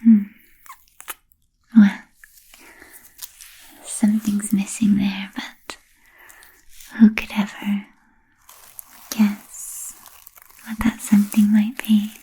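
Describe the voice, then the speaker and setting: A woman playing an ancient, refined, playfully macabre vampire in a whispered ASMR roleplay, speaking softly and formally with trailing pauses. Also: mid-range voice, monotone, full character voice, rough recording. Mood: teasing, musing. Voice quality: soft breathy whisper. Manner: slow, deliberate, archly formal with trailing pauses.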